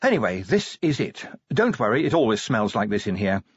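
A man voicing a brisk, world-weary, irritable female police sergeant in an audiobook. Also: fairly low pitch, sing-song, breathy edge, dry recording, highs cut off. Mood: brisk, awkward. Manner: brisk, matter-of-fact.

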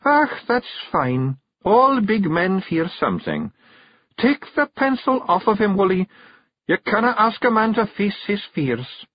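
A man voicing a small, sharp-tongued Scottish fairy clan queen who scolds and coaxes, in a dull, dry recording. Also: sits high, very animated, a little slow, no room sound, pitched above the performer's own voice, heavily acted. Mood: coaxing. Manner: scolding, coaxing, artful.

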